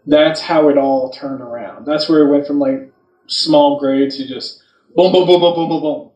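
A man's voice: a little high, fairly even intonation, moderate pace, a little mellow. A man co-hosting a podcast, talking casually and bluntly in slangy, unscripted language. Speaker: podcast co-host. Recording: roomy, distant from the microphone.

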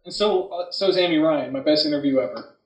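Echoey, higher-voiced man chatting bluntly. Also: fast talker. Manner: blunt, opinionated, profane. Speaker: podcast co-host. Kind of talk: casual chat about films and books.

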